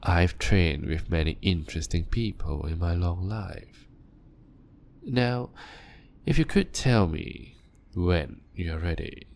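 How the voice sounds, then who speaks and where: A man voicing an ancient male vampire doctor, calm and clinical, speaking softly and often in a whisper in an audio roleplay. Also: deep voice, creaky, full character voice. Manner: soft-spoken and measured, often whispering.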